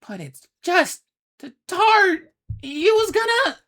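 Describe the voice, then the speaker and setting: A man voicing a small whiny monster cat creature with a very high, strained voice. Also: big pitch swings, very slow, sharp tone, exaggerated voice. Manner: whining.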